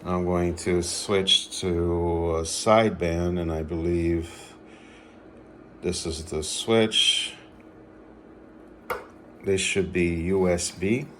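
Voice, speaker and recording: A man giving an unscripted hands-on demonstration as a radio hobbyist, speaking in a halting, matter-of-fact way. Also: sits low, fairly even intonation, fairly bright tone, a little hiss or hum.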